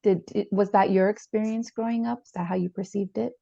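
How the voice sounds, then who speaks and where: A woman playing a gentle, gravelly therapist. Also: medium pitch, steady tone, no room sound, dull audio. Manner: measured, questioning, gentle. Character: calm, probing female therapist. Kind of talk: improvised dramatized therapy session.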